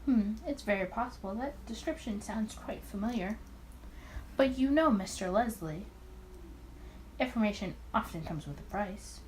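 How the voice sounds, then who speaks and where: A woman voicing a calm, smooth-talking male mobster from a visual novel, courteous and sly. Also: medium pitch, normal speed, slight background noise, close to an everyday voice, poor audio.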